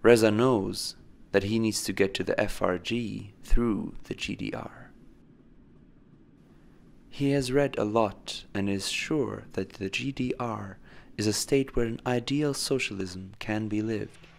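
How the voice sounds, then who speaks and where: A man delivers scripted documentary narration. His voice is on the low side with heavy vocal fry. He speaks in a measured, deliberate way, telling the story line by line.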